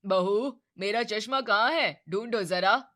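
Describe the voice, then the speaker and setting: A woman voicing a loud stern father-in-law. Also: animated, a little slow. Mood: demanding. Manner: booming, scolding.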